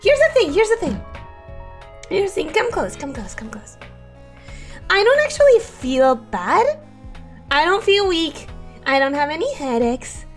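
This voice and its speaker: A young woman streaming casually, her voice hoarse and ragged from sickness, giggling often as she reads chat and greets viewers. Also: very high voice, very animated, unhurried, pauses often, faint music behind.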